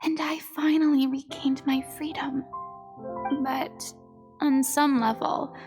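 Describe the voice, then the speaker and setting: Casting: vulnerable, proud young game heroine, high-pitched with fry, theatrical, music behind her. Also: level tone, slow pace. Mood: wistful, confessional. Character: young former stage idol, proud yet vulnerable and lonely. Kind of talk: video game voice acting.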